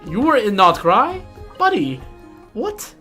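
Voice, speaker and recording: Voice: mid-range voice, wildly swooping pitch, slow pace, sharp tone, faint music behind. A streamer chatting informally about a video game in a fan-like, slangy way. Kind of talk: casual livestream chat mixed with reading game dialogue aloud.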